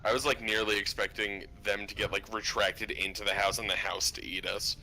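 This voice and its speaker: A man chats casually as a player in a tabletop game, joking and swearing freely. His voice is fairly low and gravelly with a bright edge, on a faintly noisy mic. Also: flat delivery, fairly quick. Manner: informal, sweary.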